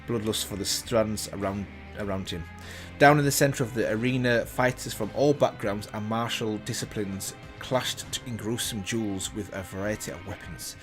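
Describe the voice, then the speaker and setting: A man's voice: on the low side, normal speed. A man reading a text aloud as a reaction video host, breaking off for casual comments of his own. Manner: casual asides while reading. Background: loud background music.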